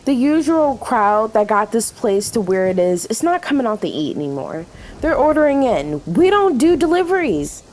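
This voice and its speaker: Woman acting sassy fed up diner waitress, radio play, high pitched, super animated delivery. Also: full character voice. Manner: blunt, sassy, emphatic. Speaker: voice actor.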